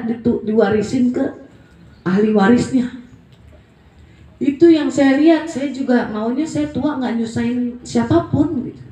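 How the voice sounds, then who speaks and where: A woman's voice: fairly high pitch, dark tone, roomy sound, distant mic, low-quality recording. A woman in her forties giving a blunt, emphatic motivational talk in a casual, colloquial way. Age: 40s. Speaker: network marketing presenter.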